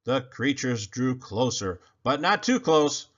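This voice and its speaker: A man reading a children's picture book aloud in an expressive, animated storytelling manner. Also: mid-range voice, slow. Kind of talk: children's read-aloud narration. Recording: slightly muffled recording.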